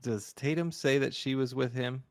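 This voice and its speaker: A man's voice: mid-range voice, fairly even intonation, normal speed, dry recording, clean studio sound. A man co-hosting a film podcast, chatting casually about movies.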